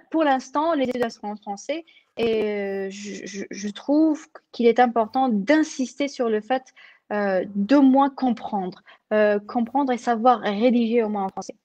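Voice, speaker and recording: A young woman from Algeria answers interview questions in a slightly high voice. She hesitates often, restarting phrases and filling pauses, and the recording is close and dry with no room sound. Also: darkish tone, dry recording. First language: French. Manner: hesitant, many fillers and restarts. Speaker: guest pharmacist.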